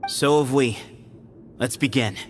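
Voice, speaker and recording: An actor playing a confident, earnest young temple leader in a dramatic video game. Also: male voice, medium pitch, unhurried, slight background noise, natural voice. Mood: cool confidence. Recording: music behind.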